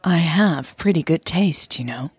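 Soft, slightly low-voiced shy woman in love, narrator-acted. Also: lively intonation, unhurried, breathy edge, no room sound, heavily acted. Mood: wry, content. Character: shy, happy woman in love, gently teasing.

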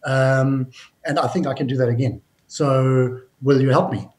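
A businesslike man tells his story as an interview guest. He often starts a phrase over before finishing it, and his pitch sits in the middle range. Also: fairly even intonation, moderate pace, darkish tone. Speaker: mining company executive, guest. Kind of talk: interview answers, recounting his career.

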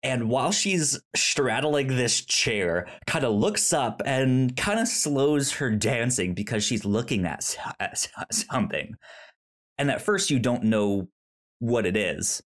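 A man's voice: mid-range voice, expressive, a little slow. A man with a pronounced stutter co-hosting a film podcast, chatting casually in an enthusiastic, rambling way.

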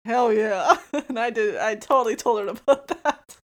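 A woman with a higher, somewhat squeaky voice co-hosts a casual podcast. She teases her co-host playfully and laughs often. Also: normal speed. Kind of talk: casual chat.

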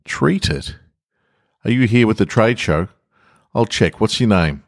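A man voicing an officious male security guard in a story, brisk and questioning. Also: on the low side, expressive, gravelly, dry recording, studio quality, pitched above the performer's own voice.

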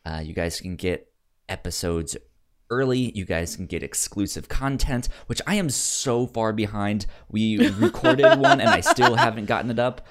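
A man hosting a podcast, chatting casually about a film in a halting, stammering way that often repeats words. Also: on the low side, big pitch swings. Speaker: podcast host.

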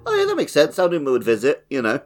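A man in his thirties chats casually as a gaming podcast co-host. His mid-range voice swings in lively fashion, with music present behind it. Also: on the high side, fast. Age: 30s.

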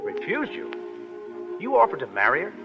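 A man's voice: on the high side, wildly swooping pitch, normal speed, music in the background. An actor playing a brash, self-effacing American Foreign Legion private in 1930s radio drama, with blunt, slangy tough-guy talk. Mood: awkward, probing. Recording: vintage 1930s radio, dull, hall reverb.

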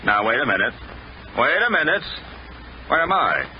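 A man acts out a middle-aged father in a vintage radio comedy. He is exasperated and dryly sarcastic with a very lively intonation, on a dull recording with faint hiss. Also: mid-range voice, normal speed, natural voice. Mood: weary, confused. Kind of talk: 1940s radio sitcom acting. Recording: muffled, noisy old radio recording.

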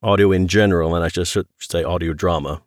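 Male podcast host, middle-aged. Low-pitched, dry close-mic sound, conversational interviewer. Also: steady tone, fast, dry recording. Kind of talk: casual interview chat.

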